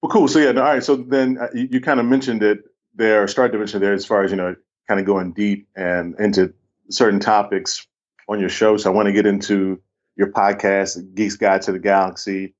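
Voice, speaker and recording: A man's voice: fairly low pitch, flat delivery, brisk. A man hosting a podcast, chatting casually and informally, often repeating himself as he goes. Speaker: podcast host. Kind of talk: casual interview chat. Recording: slightly dull, lo-fi microphone.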